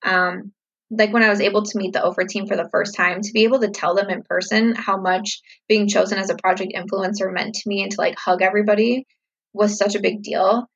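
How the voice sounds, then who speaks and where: Husky-voiced chatty woman in her thirties. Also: mid-range voice, steady tone, fairly quick, dull audio. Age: 30s. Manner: upbeat, chatty, reflective with many fillers. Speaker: vlogger answering viewer questions. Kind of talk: casual Q&A chat to camera.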